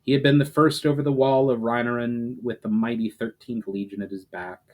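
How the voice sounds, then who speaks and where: A man reading fantasy fiction aloud as a dramatic, measured narration. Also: medium pitch, steady tone, a little mellow.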